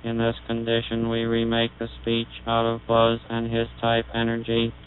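A man demonstrating an early speech synthesizer, speaking in a measured, explanatory way through a buzzy vocoder. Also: mid-range voice, completely flat delivery. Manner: measured, explanatory, recites test sentences. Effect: vocoder-resynthesized voice, buzzy and synthetic. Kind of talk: technical demonstration. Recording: tinny 1930s archival recording with mains hum.

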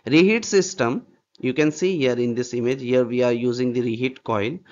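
A man's voice: mid-range voice, moderate pace, a little mellow. Man teaching HVAC over slides, lo-fi. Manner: repetitive, checks in with "okay" and rhetorical "what?". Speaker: HVAC instructor. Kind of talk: slide-based technical lecture.

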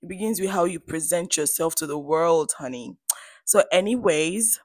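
Female beauty vlogger, Nigerian Igbo accent, low pitch, dry close sound; chatty, playful, emphatic tutorial delivery. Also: moderate pace, darkish tone, dry recording. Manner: playful, emphatic, addresses viewers directly. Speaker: hair stylist and beauty vlogger. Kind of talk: chatty tutorial voice-over to viewers.

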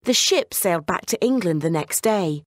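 A woman narrating a scripted children's bedtime story in an expressive storytelling manner. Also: fairly low pitch, moderate pace, no echo, clean studio sound.

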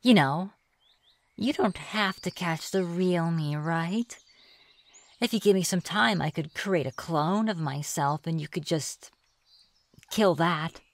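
Woman performing a mischievous witch, lowish voice, unhurried, coy and teasing, ASMR fantasy roleplay. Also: no room sound. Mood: playful, pestering. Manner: teasing, musing aloud, coy. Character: playful, teasing reclusive forest witch, sly and mischievous. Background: birdsong and forest ambience.

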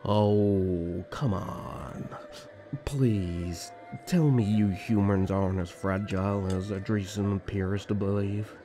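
Man voicing a sly, mellow, drawling elf prince. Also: on the low side, fairly even intonation, slow pace, soft background music. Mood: mocking. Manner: teasing, smooth, mocking courtesy. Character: sly, flirtatious, teasing rival male elf prince. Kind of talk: fantasy roleplay audio drama.

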